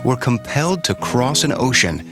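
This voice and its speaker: A man reading a documentary narration in a measured, solemn way. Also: mid-range voice, sing-song. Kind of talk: scripted narration. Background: music.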